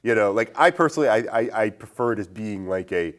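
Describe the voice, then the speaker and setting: A man's voice: on the low side, animated, fast. A man hosting a casual film discussion, talking in a hesitant, rambling way full of fillers. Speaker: podcast host.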